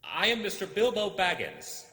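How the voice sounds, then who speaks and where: A frightened little storybook hobbit, flustered but defiant. He blurts things out loudly and urgently in a raised, plaintive pitch. Long reverb echoes around him. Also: huge pitch swings, moderate pace, full character voice. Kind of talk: storybook character voice.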